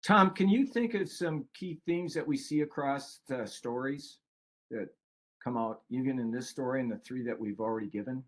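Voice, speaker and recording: A man presenting and moderating a webinar in a measured, earnest way, telling prepared stories and leading discussion. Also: medium pitch. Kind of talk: prepared story reading and discussion.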